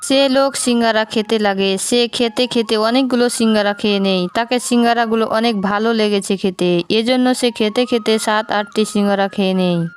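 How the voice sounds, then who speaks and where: A woman's voice: a little high, steady tone, moderate pace, faint music behind. A woman narrating a scripted moral story for a cartoon.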